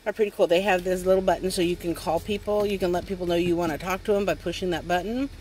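Low-pitched woman, casual outdoor review. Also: steady tone, fast, darkish tone. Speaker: toy review channel host, mother. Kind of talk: casual unboxing and product review. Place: outdoors on a wooden step beside a house. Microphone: handheld phone or camera close by. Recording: noisy handheld outdoor recording.

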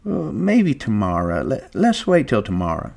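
A sorrowful old ranch hand in an audiobook, voiced by a man. He speaks softly and haltingly. His manner is reluctant and unsure. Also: on the low side, huge pitch swings, gravelly, pitched above the performer's own voice, heavily acted. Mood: hesitant, pleading.

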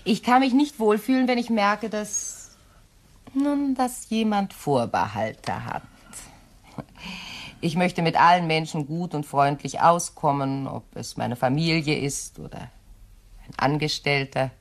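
A woman's voice: deep voice, slow. An actress playing a composed, touchy widowed lady of the house in a crime radio play, genteel and evasive. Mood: hesitant, gentle.

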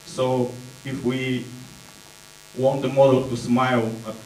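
A man with a Bulgarian accent speaks at an unhurried pace, filling gaps while he hunts for English words. His tone is dark and relaxed. The sound is distant and echoey, with a faint mains hum behind it. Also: medium pitch. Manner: hesitant, many fillers, searching for English words. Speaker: conference presenter. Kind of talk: slow conference talk with slides. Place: dark auditorium stage.

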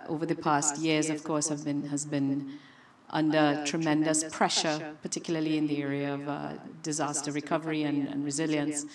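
A woman from Trinidad and Tobago who heads a nonprofit, introducing herself steadily on a panel. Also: sits low. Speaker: nonprofit head. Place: conference room. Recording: distant mic in conference room.

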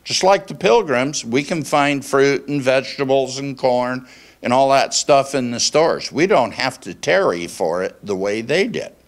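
Older male pastor, sixties, unhurried delivery, interactive small-church sermon. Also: mid-range voice, lively intonation. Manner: deliberate, pausing phrases. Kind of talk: conversational sermon with questions to congregation. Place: small church meeting room, indoors. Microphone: handheld microphone held at chest.

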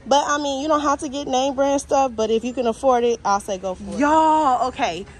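A woman's voice: sits high, fairly quick. A young American woman chatting informally and directly to viewers, as in a casual shopping vlog. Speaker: vlogger. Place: inside a large warehouse store. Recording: faint store ambience noise.

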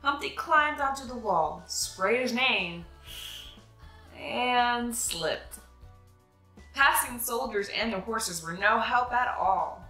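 Young female read-aloud host, bright lively delivery, dramatic storybook phrasing, recorded in a roomy space. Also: fairly high pitch, takes it slowly, faint music behind. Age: young adult. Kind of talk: expressive picture-book storytelling.

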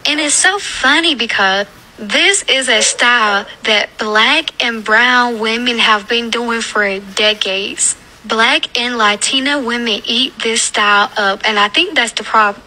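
A woman with a fairly high, strikingly bright and forward voice. She gives her opinion casually, in a critical, matter-of-fact way. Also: a little slow, rough recording.

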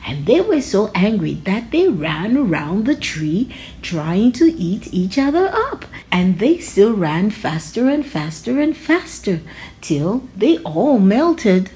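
Woman doing storytime for kids, slow and super expressive. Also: medium pitch, low-quality recording. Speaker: children's story reader. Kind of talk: expressive read-aloud narration.

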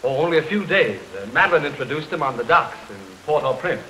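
An actor playing an earnest, polite young bridegroom in an early 1930s film, trusting and clipped in speech. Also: male voice, a little high, animated, fast talker, bright, forceful tone, rough recording. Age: young adult. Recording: hissy 1932 film soundtrack, rain behind.

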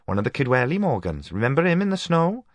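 A man's voice: medium pitch, wildly swooping pitch, fast, no echo, pitched above the performer's own voice. A man voicing a chatty, affectionate Welsh housewife in a storybook reading, gossiping fondly. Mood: fond gossipy. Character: chatty, fond Welsh housewife reminiscing over family.

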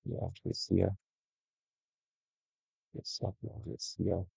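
Gravelly, deep-voiced man reviewing trading charts. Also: measured pace, no echo, dull audio. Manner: relaxed, conversational, frequent "right?" tags. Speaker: trading educator. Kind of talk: screen-share trading review.

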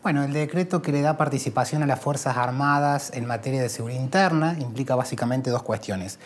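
A man's voice: medium pitch, a little fast. A man in his thirties with an Argentine accent, giving earnest, argumentative interview answers in an indoor room. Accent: Argentine Spanish. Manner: earnest, argumentative, rising emphasis on key points. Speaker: lawyer and researcher, interviewee.

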